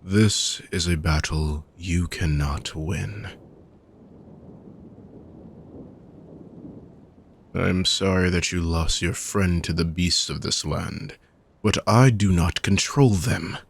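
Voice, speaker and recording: A weary ancient dark mage, played by a man speaks in a low, unhurried voice, each phrase drawn out slowly. He often sinks into hushed whispers. A faint hiss sits under the fantasy roleplay audio. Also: gravelly, heavily acted. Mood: weary, menacing. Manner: slow, measured, drifting into hushed whispers.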